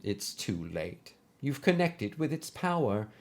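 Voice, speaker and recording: A man voicing a gently insistent older female teacher, an android spirit in an audio story, speaking earnestly and in a measured way. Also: medium pitch, very animated. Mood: gently solemn. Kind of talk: audio story character acting.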